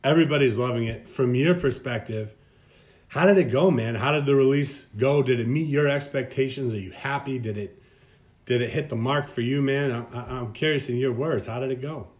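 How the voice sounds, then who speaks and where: A man's voice: mid-range voice, moderate pace, darkish tone. A man hosting a casual interview, effusive and slangy, asking long winding questions and calling his guest "man". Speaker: podcast host.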